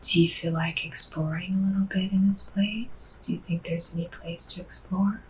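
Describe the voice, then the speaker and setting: A woman working as a hypnotherapist, asking gentle guiding questions in a soft, breathy near-whisper with murmured acknowledgements. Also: lowish voice, fairly even intonation, normal speed. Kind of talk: hushed, slow guided hypnosis questioning. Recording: distant mic, background noise.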